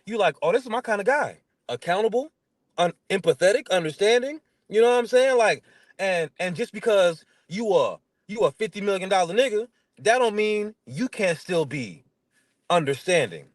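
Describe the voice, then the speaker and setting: High-pitched man with a stutter. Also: animated, normal speed, no room sound. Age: 30s. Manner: blunt, slangy, emphatic. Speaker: interview guest. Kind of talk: casual chat, animated storytelling.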